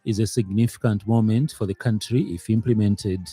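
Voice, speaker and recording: A man with a Zambian English accent reading a radio news bulletin in a measured, formal way with occasional hesitations. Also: fairly low pitch, steady tone, loud music behind the voice. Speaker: radio newsreader. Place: radio studio.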